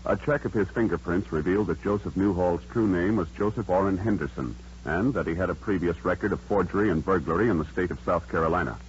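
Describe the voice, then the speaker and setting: A man's voice: deep voice, fast talker, hardly any pauses, slight background noise. An American man announcing in a formal, solemn, official 1950s radio narration style. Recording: dull vintage radio recording.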